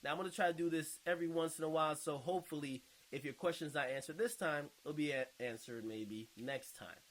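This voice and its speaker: Male online host, slightly high voice, informal and slangy, comfortable swearing, off-the-cuff commentary reading. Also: a little fast. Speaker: online video host and podcaster. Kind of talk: casual commentary, reading and reacting to viewer comments.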